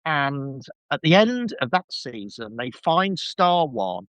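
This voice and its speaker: Chatty, enthusiastic man with lively, swooping intonation. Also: mid-range voice, takes it slowly, clean tone, dry recording. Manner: chatty, enthusiastic, rambling asides. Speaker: podcast guest. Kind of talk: casual fan review chat.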